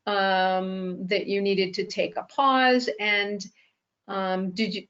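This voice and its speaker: A woman's voice: mid-range voice, steady tone, slow, a bit bright, lo-fi sound. A woman hosting a webinar interview, asking long questions with many ums. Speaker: webinar host. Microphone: video call.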